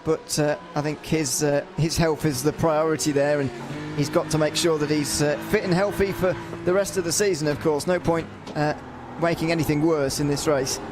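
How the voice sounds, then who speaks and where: A man's voice: on the high side, steady tone, normal speed, music in the background. A man giving race analysis as co-commentator, hesitant with many fillers and repeated words.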